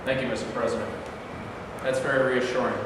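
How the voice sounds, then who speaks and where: A man's voice: medium pitch, little pitch movement, quick pace, fairly bright tone, lightly acted. A man in a staged reading with a formal, deferential delivery, as a presidential aide and narrator. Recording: very echoey noisy hall recording.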